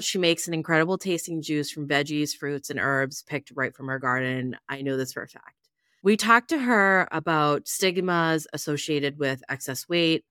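A woman's voice: low-pitched, pushed and bright, clean tone, no room sound, clean studio sound. A woman co-hosting a podcast, reading an upbeat, enthusiastic scripted introduction. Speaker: podcast co-host.

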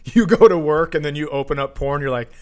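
A man hosting a casual comedy podcast, chatting in a teasing, deadpan way. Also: fairly high pitch, fast.

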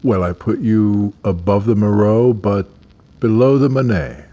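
A man playing a smug, predatory wealthy tycoon in an audio drama, suave, unhurried and commanding. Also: fairly low pitch, mellow. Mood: suave, seductive.

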